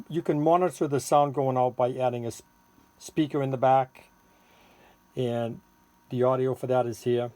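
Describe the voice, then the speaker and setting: A man giving a casual hands-on product demonstration as a seller, halting and thinking aloud while he works the controls. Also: mid-range voice, warm tone, no room sound. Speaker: seller demonstrating a homemade electronics gadget. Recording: clearly noisy, with electronic tweets and siren-like tones.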